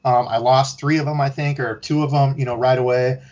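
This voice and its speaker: A man guesting on a podcast, laid-back and rambling with lots of "you know" and "like", with a husky voice over a call. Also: medium pitch, fairly even intonation, a little fast, a little mellow, lo-fi sound. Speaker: guest, fishing YouTuber. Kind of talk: casual interview chat.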